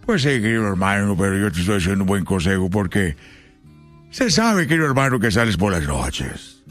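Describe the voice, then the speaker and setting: A preachy old Mexican ranchera singer in a comedy sketch. His lowish voice swoops dramatically up and down as he sermonizes, repeating himself like a nagging elder brother, with music playing behind him. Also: sing-song, brisk, fairly bright tone. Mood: preachy, concerned.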